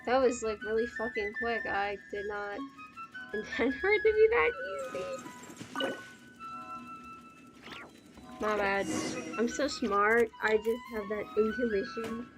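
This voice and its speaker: A woman's voice: fairly high pitch, animated, takes it slowly, pauses often. A woman livestreaming a game, giving casual, reactive, sweary commentary. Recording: game music and sounds behind.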